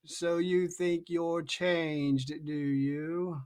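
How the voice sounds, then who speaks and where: A parent reading aloud, voicing a contemptuous, curt caterpillar in a slow, drawn-out way. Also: mid-range voice, fairly even intonation, a little mellow. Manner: slow, drawn-out, clipped replies.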